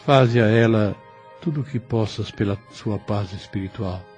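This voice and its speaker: An actor playing a gentle, devout, dying old priest who speaks slowly and tenderly in an audio novel. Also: male voice, on the low side, faint music behind, natural voice. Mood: tender, solemn. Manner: slow, halting, tender speech. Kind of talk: religious audio novel acting.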